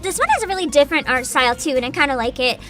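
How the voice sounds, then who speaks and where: A woman's voice: sits high, expressive, very fast, bright, forceful tone, soft background music. A woman hosting a livestream, chatting casually and reading bits aloud in a bubbly, enthusiastic manner. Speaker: virtual streamer host.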